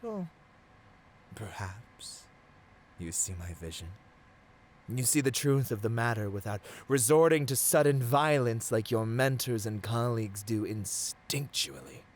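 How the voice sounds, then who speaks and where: A man's voice: mid-range voice, close to an everyday voice. A man playing a seductive, arrogant supervillain in a theatrical ASMR roleplay, silky and grandiose, slipping into whispers. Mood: coaxing, intimate. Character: seductive, arrogant male supervillain tempting a hero.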